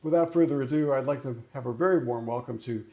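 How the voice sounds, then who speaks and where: A man's voice: mid-range voice, quick pace, highs cut off. A middle-aged or older man hosting an interview in a conversational style. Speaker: interview host.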